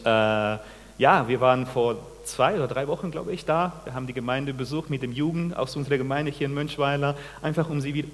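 Man from Peru, 40s, pastor, sermon, halting with restarts, addresses congregation, average pitch, long church reverb. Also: a little hiss or hum. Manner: halting, many restarts, asks the congregation questions. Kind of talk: sermon with personal testimony.

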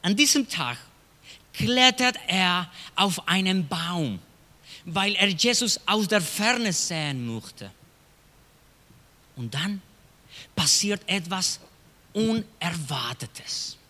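A middle-aged man from Chile with a Chilean Spanish accent, a pastor preaching a sermon in a slow storytelling way with hushed dramatic drops. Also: high voice, lively intonation. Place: church hall. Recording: long hall reverb.

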